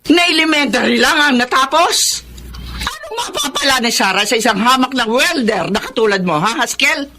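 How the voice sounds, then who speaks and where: A woman's voice: high voice, very animated, normal speed, pushed and bright, a little hiss or hum, heavily acted. An actress playing a domineering, scornful Filipino mother who scolds with mocking questions in a melodramatic radio drama. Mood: scornful, incredulous.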